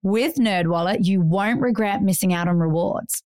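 A woman's voice: medium pitch, normal speed, no room sound, studio quality. A woman co-host reading an ad in a chatty, upbeat way. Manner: bright, chatty and upbeat delivery. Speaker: podcast co-host. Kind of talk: host-read ad.

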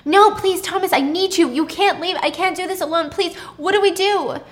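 A woman's voice: very high voice, normal speed, pitched above the performer's own voice. A woman voicing an impulsive young girlfriend in a spoken story, defensive and pleading, repeating her words. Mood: desperate, pleading.

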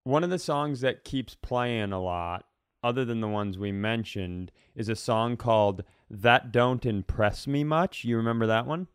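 Smooth-voiced man rambling on a comedy podcast. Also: medium pitch, a little slow, no room sound, clean studio sound. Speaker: podcast co-host. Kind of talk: casual comedic podcast chat.

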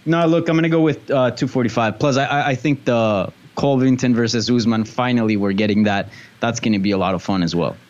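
A man's voice: mid-range voice, a little fast, few pauses, a little mellow. A man co-hosting a fight show, giving opinions in a loose, conversational way peppered with filler phrases, on slightly dull audio. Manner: conversational, peppered with "like" and "you know". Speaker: co-host and MMA pundit. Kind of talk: casual sports talk and opinion.

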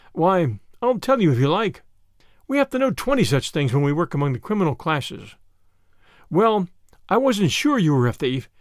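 A man's voice: fairly high pitch, no echo. Guy doing a timid little country priest, vague n apologetic, unaffected, storybook, dry close sound. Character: small, mild, vague country priest, male, shrewd and apologetic.

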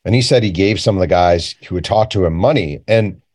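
A man's voice: deep voice, brisk, darkish tone. A man speaking as an interview guest, telling stories casually and informally, often quoting bits of remembered conversation. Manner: informal, acts out remembered dialogue plainly. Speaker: guest, journalist and author.

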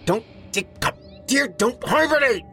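A man's voice: low voice, sing-song, a little slow, vocal fry, fairly bright tone, faint music behind, pitched above the performer's own voice, full character voice. A man voicing a tense, profane young man who urgently takes charge in a crisis in an audiobook story. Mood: tense, resolute.

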